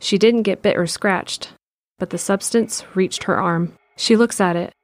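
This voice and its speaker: A woman giving steady scripted narration of an audio drama in the present tense. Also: medium pitch, unhurried.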